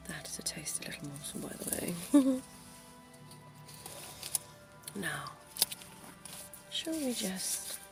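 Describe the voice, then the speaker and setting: A centuries-old vampire dentist, played by a woman, leaning close and teasing in a breathy, gravelly half-whisper. She speaks slowly and sits on the lower side of her range, with music playing softly under her. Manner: soft, teasing, intimate whispering. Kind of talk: ASMR roleplay.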